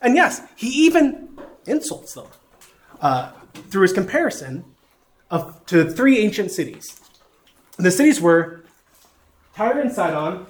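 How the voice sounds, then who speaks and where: A man with a Southern accent teaching a sermon, folksy and self-deprecating, with frequent fillers. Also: high voice. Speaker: pastor.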